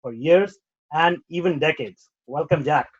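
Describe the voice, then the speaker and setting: A man's voice: on the high side, unhurried, husky, muffled recording. A man hosting a podcast, reading a scripted introduction and then asking questions with frequent fillers. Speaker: host.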